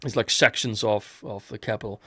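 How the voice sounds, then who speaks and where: A man's voice: lowish voice, fairly even intonation, fairly quick, bright, forceful tone, husky, no echo. Rambling man in a dry close mic. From Iceland. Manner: rambling, with many restarts. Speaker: podcast host. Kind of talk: casual podcast chat.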